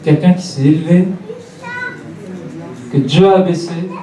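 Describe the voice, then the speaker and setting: A man's voice: fairly high pitch, a little slow, a crowd in the background. A man in his late thirties preaching a church sermon, speaking didactically and repeating phrases for emphasis. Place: church hall, preaching to a congregation. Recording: roomy church, distant mic, faint noise.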